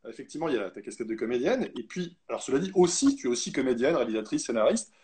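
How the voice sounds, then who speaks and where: Guy hosting a live, husky voice, rushes and trips over his words. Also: medium pitch, sing-song, a little mellow. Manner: hesitant, stumbling, many restarts. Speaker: live-stream interviewer reading viewer questions. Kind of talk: casual live interview.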